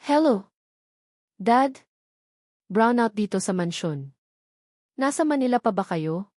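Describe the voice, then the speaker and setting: A woman's voice: medium pitch, lively intonation, takes it slowly, darkish tone, dry recording, clean studio sound. A woman voicing an anxious, protective young rich man with urgent, pleading delivery in an audio love story. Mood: concerned. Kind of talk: dramatic audio story dialogue.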